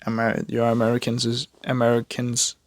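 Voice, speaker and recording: A man's voice: mid-range voice, completely flat delivery, moderate pace, no echo. A young man from Denmark with a Danish accent, chatting casually while he draws, rambling and joking at his own expense. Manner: rambling, chatty, self-mocking, many fillers and restarts. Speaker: art vlogger. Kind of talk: casual chat while drawing.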